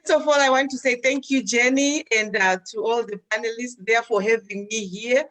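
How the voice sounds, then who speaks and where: Older woman from Zimbabwe, guest on a video call, measured storytelling. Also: on the high side, fairly bright tone. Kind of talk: personal storytelling.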